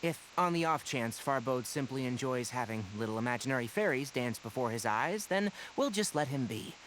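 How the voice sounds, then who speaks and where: A man playing a brisk, dry-witted young forest ranger master in a video game, articulate, instructive and mildly exasperated. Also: medium pitch, animated, fairly bright tone, slight background noise, lightly acted, rough recording. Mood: dry sarcasm.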